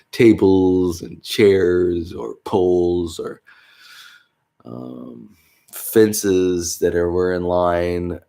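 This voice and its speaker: Congested man with a low, gravelly voice. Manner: rambling, with many fillers and self-corrections, chuckling. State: congested, stuffy nose. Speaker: vlogger. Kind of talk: casual rambling monologue.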